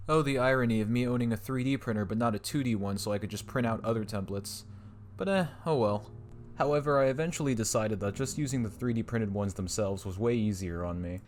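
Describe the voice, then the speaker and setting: A man hosting a casual DIY build video, narrating the steps with wry asides. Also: mid-range voice. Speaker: maker and video host. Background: music.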